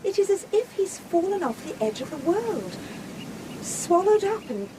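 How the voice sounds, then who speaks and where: An earnest English gentlewoman in a radio drama. Her young voice is high, varied in melody and politely genteel, with clear background noise behind it. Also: sing-song, unhurried, heavily acted, low-quality recording. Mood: wistful. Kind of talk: period radio drama acting.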